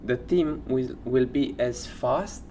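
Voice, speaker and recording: Podcast co-host guy, medium pitch, loose halting phrasing, bit of noise behind him. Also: warm tone. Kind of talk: casual podcast chat.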